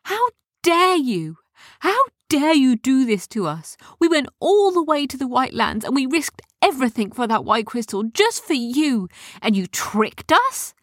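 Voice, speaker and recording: Woman doing fierce young heroine, scolding, raised pitch, storybook. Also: big pitch swings, measured pace, no room sound, studio quality, heavily acted. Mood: outraged. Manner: indignant, scolding, demanding.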